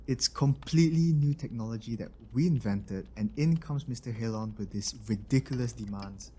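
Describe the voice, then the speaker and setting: A man playing a grumbling but soft-hearted robotics engineer in a scripted audio drama. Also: mid-range voice, normal speed, slight background noise, close to an everyday voice. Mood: exasperated. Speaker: voice actor in an audio roleplay.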